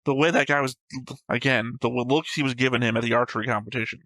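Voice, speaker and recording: Bright-voiced man in matter-of-fact discussion. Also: mid-range voice, fairly even intonation, fast, no echo. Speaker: reaction show host. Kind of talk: casual discussion of an animated series.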